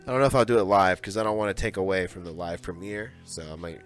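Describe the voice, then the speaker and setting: A young man rambles through casual podcast chatter in a lowish voice, full of fillers and restarts. Faint music sits underneath. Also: quick pace. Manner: rambling, loose, filler-heavy. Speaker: podcast co-host. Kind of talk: casual chat.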